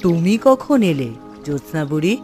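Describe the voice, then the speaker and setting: A woman's voice: on the low side, sing-song, moderate pace, over music. A woman voicing a grave, guilt-burdened fairy queen in a fairy-tale cartoon, solemn and reflective. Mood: pensive.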